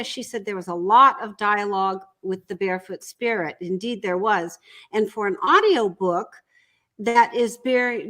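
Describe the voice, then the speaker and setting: A woman speaking as an interview guest, explaining in a measured, deliberate way and repeating points for emphasis. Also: a little high, fairly bright tone. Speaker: audiobook producer and guest. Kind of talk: conversational explaining.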